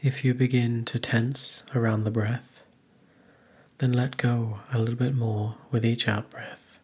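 A man leading a guided meditation, speaking gently in measured phrases with long pauses. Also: mid-range voice, flat delivery, breathy edge. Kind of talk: guided meditation, soothing instruction.

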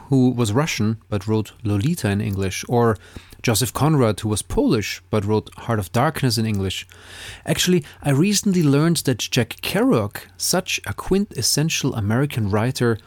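A man's voice: medium pitch, no echo. Dry, close male voice-over narration. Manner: reflective, informative. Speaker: podcast host.

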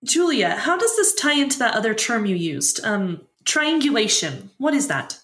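A woman's voice: a little high, very animated, moderate pace, a bit bright, echoey room. An actress playing an inquisitive woman talk show host in an audio drama, prompting and probing with questions. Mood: engaged, probing. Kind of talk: audio drama dialogue as a broadcast interview.

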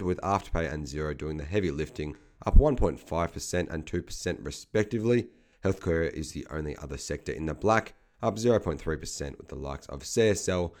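Casting note: male market commentator, low voice, slight croak, brisk figure-heavy read. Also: a little mellow. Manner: brisk, businesslike delivery of figures. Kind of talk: scripted market update.

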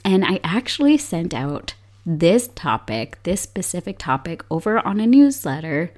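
A young woman hosting a solo podcast, her voice hoarse from illness, upbeat and chatty with frequent laughs. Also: on the low side, very animated, a little slow, darkish tone. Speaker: podcast host.

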